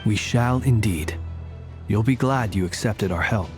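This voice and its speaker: A man plays a secretive gentleman warrior in a video game. He speaks on the low side, courteous and understated, never ruffled. Soft music sits behind him. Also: unhurried, natural voice. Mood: polite, guarded. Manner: polite, formal, understated. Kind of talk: English game dub acting.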